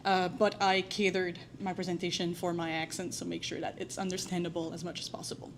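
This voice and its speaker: A woman's voice: on the low side, level tone, fairly quick, creaky, background noise. A woman with a French accent, a native French speaker from New Brunswick, giving a conference presentation with lots of "uh" fillers and a friendly, self-deprecating manner. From New Brunswick, Canada. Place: conference room.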